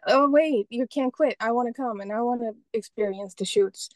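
A woman's voice: a little high, normal speed, a crowd in the background, rough recording. A young woman from Estonia, a painter and photographer, talking casually as an interview guest and often restarting her sentences. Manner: rambling, many restarts and fillers.

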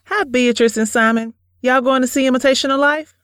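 A woman playing a gentle young sweetheart, dry sound. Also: sits high, fairly even intonation, fairly quick, smooth, no echo. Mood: friendly. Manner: gentle, polite. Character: sweet, appreciative young woman being courted. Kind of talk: audio drama dialogue.

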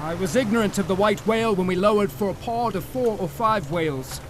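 An actor playing a hearty, sensible one-armed whaling captain in an audio drama, telling things colourfully. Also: male voice, sits high, fairly quick, noisy background, close to an everyday voice. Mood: vivid recounting. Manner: colourful, animated storytelling.

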